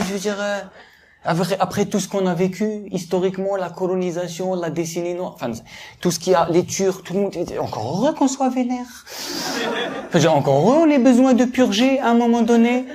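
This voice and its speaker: A man of Algerian family doing a stand-up routine, talking animatedly and building up to shouted punchlines. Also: high voice, expressive, a little fast. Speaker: stand-up comedian.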